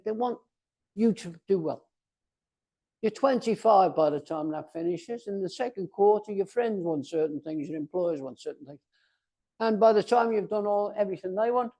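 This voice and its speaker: A man of about eighty giving a motivational speech, speaking theatrically and emphatically, with deliberate pauses and repetition. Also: high voice, dark tone. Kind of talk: motivational speech to a club audience.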